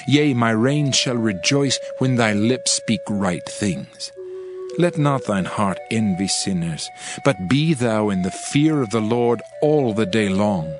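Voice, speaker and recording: A man's voice: on the low side, expressive, slow pace. A man giving a dramatized Bible reading in a solemn, emphatic, oratorical manner. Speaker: scripture reader. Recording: music behind the voice. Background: music.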